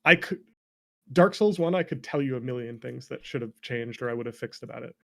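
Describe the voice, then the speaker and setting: A man's voice: medium pitch, a little fast, creaky. A man in a casual, opinionated chat about video games, rambling and swearing freely as an interview guest. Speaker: interview guest, game developer.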